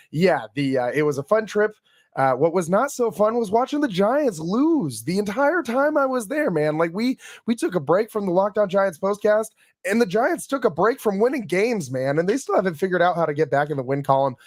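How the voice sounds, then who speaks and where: A man with a raspy, worn voice hosts a casual sports podcast, chatting through a game recap with frequent fillers and restarts. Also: a little high, dry recording, clean studio sound. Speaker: podcast host.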